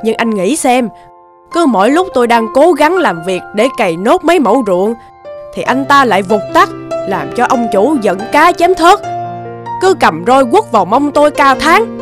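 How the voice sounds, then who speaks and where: A woman voicing a hardworking, aggrieved female water buffalo in an animated fairy tale. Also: high voice, moderate pace, over music.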